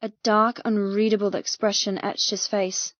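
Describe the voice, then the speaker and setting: A woman's voice: mid-range voice, flat delivery, normal speed, no room sound, muffled recording. Woman narrating dramatic fiction, very dry sound. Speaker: audiobook narrator. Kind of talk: dramatic scripted first-person narration.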